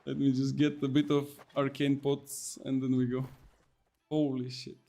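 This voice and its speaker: A young man streaming a game, excitably commenting, repeating words and calling people bro. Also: medium pitch, a little slow, creaky. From Bulgaria. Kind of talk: casual gameplay commentary.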